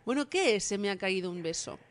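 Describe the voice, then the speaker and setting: A woman's voice: mid-range voice, lively intonation, a little fast. A woman radio host presenting and interviewing a phone guest in an announcer style. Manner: announcer-style, poised questions.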